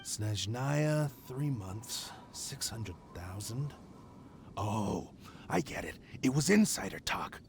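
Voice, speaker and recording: An actor playing a dim, self-important senior bandit in a video game, gravelly and over-dramatic. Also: male voice, fairly low pitch, very animated, slow pace, a little hiss or hum, full character voice. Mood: knowing. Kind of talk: video game character acting.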